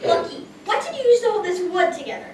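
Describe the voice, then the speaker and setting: A woman's voice: extremely high pitch, sing-song, fast, darkish tone. A woman playing a stern but fair sea captain who grades schoolwork in a community puppet show. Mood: critical. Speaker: puppeteer performer. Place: large echoey hall. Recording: echoey hall, distant mic, noisy audience.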